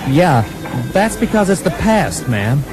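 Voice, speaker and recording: A man playing a deadpan, wry American detective narrating a comedy radio play, with an American accent. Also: fairly high pitch, unhurried, rough recording. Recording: radio taped to cassette, faint music.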